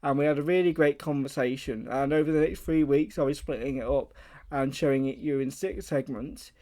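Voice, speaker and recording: A man's voice: medium pitch, fairly even intonation, a little fast, warm tone. A man hosting a radio show, introducing an interview segment in a chatty, upbeat way. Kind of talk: presenter introducing a guest.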